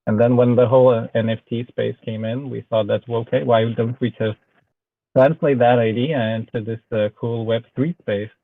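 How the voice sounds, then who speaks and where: A man from Lithuania speaking English with a Lithuanian accent, a guest artist chatting casually, pausing often with filler sounds. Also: mid-range voice, steady tone, dry recording. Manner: hesitant, many filler sounds. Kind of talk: casual chat. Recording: tinny phone-like online call audio.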